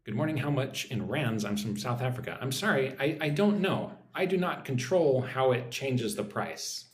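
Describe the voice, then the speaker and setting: A man's voice: mid-range voice, lively intonation, roomy sound. An American man teaching English on a live stream, reading out viewer comments and sounding out words for listeners to repeat. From United States. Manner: reads viewer comments aloud, models pronunciation slowly.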